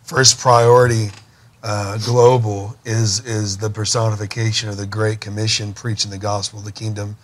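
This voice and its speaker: A man's voice: fairly low pitch, flat delivery, normal speed. A middle-aged man hosting a studio talk show, speaking fervently in a preacher-like cadence with stacked phrases. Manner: fervent, preacher-like listing and exhortation. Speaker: host. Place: TV studio set.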